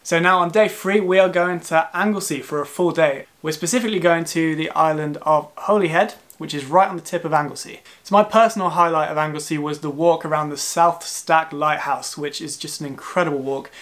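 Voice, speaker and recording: A man's voice: fairly high pitch, a little fast, hardly any pauses, a bit bright. A young man in his twenties talking casually to the camera from his living room sofa, chatty and self-deprecating. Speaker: travel vlogger. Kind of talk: casual travel vlog talk.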